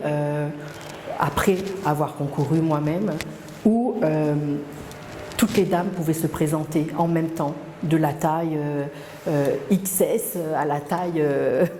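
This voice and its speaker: A middle-aged woman, speaking hesitantly and reflectively as an interviewee, with many pauses and restarts. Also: deep voice, dark tone, a little hiss or hum. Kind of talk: personal testimony interview. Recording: echoey room, long reverb, faint ambience.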